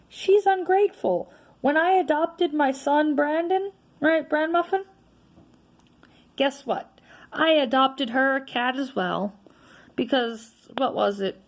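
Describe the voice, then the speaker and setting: A woman vlogger with a naturally loud voice, venting in a casual, frustrated rant that is full of tag questions. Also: high voice. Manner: frustrated, ranting, frequent "right?" tags.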